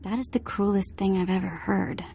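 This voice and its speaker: Actress as wry female sidekick, vocal fry, mid pitch, informal, cheeky, game asides. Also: steady tone, moderate pace, warm tone, natural voice. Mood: appalled. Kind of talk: video game companion acting.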